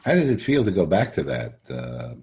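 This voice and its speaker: A man hosting a podcast interview in an effusive, halting way, rephrasing his questions as he goes. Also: deep voice, wildly swooping pitch, a little mellow. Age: middle-aged or older. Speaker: podcast host interviewing an actor. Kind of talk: enthusiastic, conversational interviewing.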